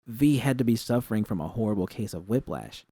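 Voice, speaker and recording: A man's voice: on the low side, lively intonation, fairly quick, warm tone, husky, no echo. A man narrating first-person fiction as an audiobook reader.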